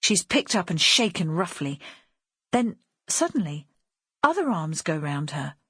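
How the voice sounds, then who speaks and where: A woman's voice: low voice, big pitch swings, measured pace, fairly bright tone, husky, no room sound, studio quality. A woman reading a dramatic novel as scripted audiobook narration.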